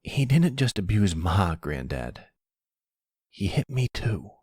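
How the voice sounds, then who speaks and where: A man acts out a grown son fiercely protective of his mother. He talks in a clipped, gruff, confrontational way with animated pitch, in a dry roleplay audio drama recording. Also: slow, no room sound, heavily acted. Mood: bitter, angry. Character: protective adult son, defensive, bitter, gruff but loving.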